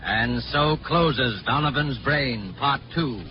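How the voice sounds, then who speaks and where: A man's voice: mid-range voice, slow. Formal male announcer, vintage radio sound. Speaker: program announcer. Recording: dull 1940s radio recording with music.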